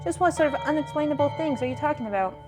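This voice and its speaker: A woman's voice: high voice, lively intonation, racing, music in the background, pitched above the performer's own voice. A woman voicing a kindly, gossipy older spiritualist lady in a video game, with a genteel, folksy manner full of endearments. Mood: gently puzzled.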